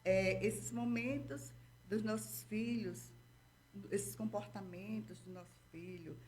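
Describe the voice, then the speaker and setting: A woman's voice: mid-range voice, slow, darkish tone. A woman speaking Brazilian Portuguese, a therapist explaining patiently and didactically, checking in often with her listener. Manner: didactic, checks in with frequent "tá?" and "entendeu?". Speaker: guest therapist. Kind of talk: explanatory interview talk.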